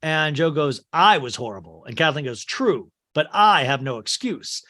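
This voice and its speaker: Man podcast host, fairly high and bright, voice worn and strained, retelling a film and mimicking its lines. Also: big pitch swings, normal speed. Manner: acts out quotes in shifting put-on voices. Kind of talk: recapping a film scene by scene.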